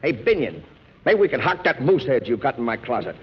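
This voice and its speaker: A man's voice: mid-range voice, very animated, a little fast. A man playing a brash, bossy, broke theatrical producer full of schemes, in slangy 1940s radio comedy style. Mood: scheming. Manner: bossy, slangy, smooth-talking. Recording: dull 1940s radio recording with hiss.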